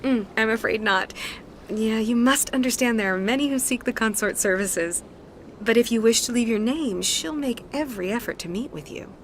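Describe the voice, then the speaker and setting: A woman voicing a courteous, poised alien acolyte who receives clients in a video game, polite, soothing and measured. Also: fairly high pitch, a little hiss or hum, natural voice. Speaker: receptionist acolyte. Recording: game music behind the voice.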